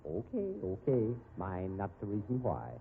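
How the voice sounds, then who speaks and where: An actor playing a brash, wisecracking private detective, blunt but caring, in 1950s radio drama style on an old muffled recording. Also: male voice, on the low side, huge pitch swings, moderate pace, noisy background. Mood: grumbling, joking. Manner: slangy, blunt, needling.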